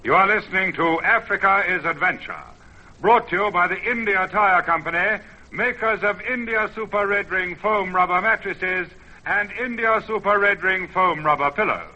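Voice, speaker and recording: A man announcing and narrating a scripted vintage radio programme in a formal, measured, poetic way. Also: sits high, sharp tone. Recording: dull vintage radio recording, music behind. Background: music.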